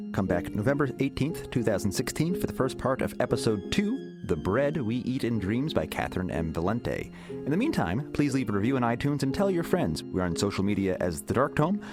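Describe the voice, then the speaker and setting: Low, animated male podcast announcer. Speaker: podcast announcer reading credits. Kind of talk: scripted narration. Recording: loud guitar music under the voice.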